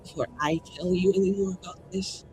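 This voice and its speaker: A man playing a grieving, menacing noble who speaks slowly and deliberately in broken phrases. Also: high voice, steady tone, a little mellow, slight background noise, lightly acted, low-quality recording. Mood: cold threat.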